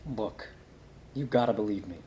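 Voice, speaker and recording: A man's voice: fairly low pitch, moderate pace, mellow, natural voice. A man acting a desperate, shaken suspect who stammers and pleads in a script read. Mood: defensive, anxious.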